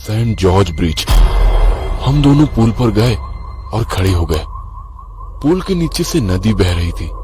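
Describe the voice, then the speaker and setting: A man's voice: deep voice, background noise. A man from Maharashtra, India, reading a scripted first-person horror story as its narrator.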